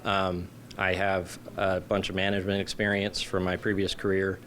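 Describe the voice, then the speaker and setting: A man running for city council, speaking in a measured, plain-spoken way in a news interview. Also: low voice, completely flat delivery, a bit bright, a little hiss or hum.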